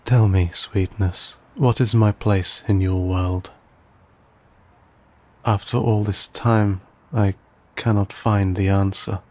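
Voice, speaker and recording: A man playing an old vampire in an intimate audio roleplay, courteous and wry, with a husky, breathy voice and archaic, theatrical phrasing. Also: on the low side, fairly even intonation, warm tone. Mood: searching, wry. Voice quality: husky, gravelly, often dropping to breathy whisper. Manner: archaic, formal, theatrical, teasing, halting.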